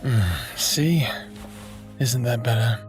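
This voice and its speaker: Cheeky defiant rebel in his 30s, really slow delivery, audio drama, music loud behind. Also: medium pitch, expressive. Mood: teasing. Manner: sardonic, teasing. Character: defiant, smartass thirty-eight-year-old human male rebel.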